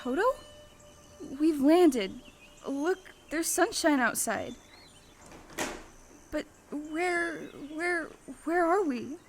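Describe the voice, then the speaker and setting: A woman's voice: high voice, lively intonation, very slow, pauses often, slight background noise, full character voice. A woman playing an earnest, bewildered young farm girl in an audio play, speaking with breathless, exclamatory wonder. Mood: hushed wonder. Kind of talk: stage-style audio play acting.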